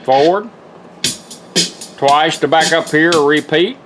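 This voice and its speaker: A man's voice: a little high, slow pace. A man giving a casual, hands-on product demonstration in a folksy, plain-spoken way. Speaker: car radio restorer demonstrating a product. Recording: music playing behind the voice. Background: music from a car radio.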